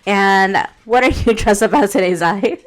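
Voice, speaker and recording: A woman's voice: mid-range voice, moderate pace. A young adult woman co-hosting a casual podcast, rambling with many fillers and restarts. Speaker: podcast co-host.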